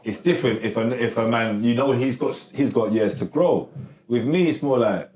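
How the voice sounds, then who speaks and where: A man's voice: medium pitch, normal speed, darkish tone. A man, a rapper guest chatting casually in slang and laughing often. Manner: laid-back slang, frequent "you get me", laughs often. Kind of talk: casual interview chat. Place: recording studio. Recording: roomy studio sound.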